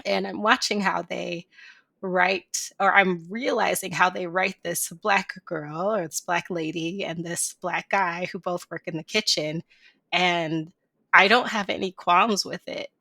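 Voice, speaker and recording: Bright chatty woman, Chicago accent. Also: fairly low pitch, measured pace, vocal fry, no echo. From Chicago area. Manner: chatty, animated storytelling with voiced asides. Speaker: podcast host. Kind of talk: casual conversation.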